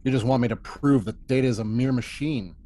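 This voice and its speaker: A man's voice: medium pitch, a little fast, dark tone, natural voice. A man playing a loyal, earnest starship first officer in a table read, speaking emphatically. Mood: defiant. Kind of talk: amateur table read of a sci-fi script.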